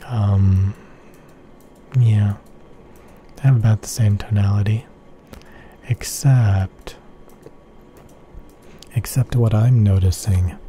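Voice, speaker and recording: A man talks through headphone measurements in an ASMR-like manner. He repeats his words and often sinks to a breathy whisper. His pitch sits low and his tone is very dark. Faint noise is heard behind him. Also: steady tone, slow pace, long pauses. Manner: repeats phrases softly, often in unvoiced whispers. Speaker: headphone reviewer. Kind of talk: slow whispery ASMR-style tech explanation.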